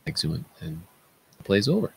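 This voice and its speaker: A man's voice: low voice, wildly swooping pitch, moderate pace, creaky, husky, poor audio. A man speaking as a teacher who narrates and comments informally during a play reading.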